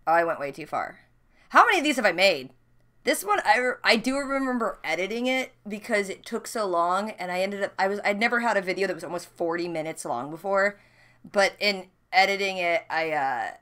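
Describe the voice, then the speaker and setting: Chatty lady vlogger w a bright voice, jokey n candid, just talking over old clips. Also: medium pitch, lively intonation, brisk. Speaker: host of a reaction channel. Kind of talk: casual chatty commentary over own old videos.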